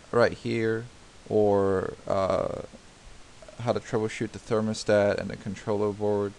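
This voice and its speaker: A man with a lowish, gravelly voice narrates a do-it-yourself repair tutorial over a diagram. He explains patiently, step by step, with frequent fillers and restarted sentences. A faint hiss sits under the voice. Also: a little mellow. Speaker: DIY home repair tutor. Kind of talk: unscripted screen-recorded tutorial narration.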